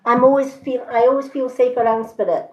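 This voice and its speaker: A woman chatting casually to live-stream viewers as a psychic card reader, with a calm, soothing delivery. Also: fairly high pitch, fairly quick, a little hiss or hum. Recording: roomy, slightly dull livestream audio.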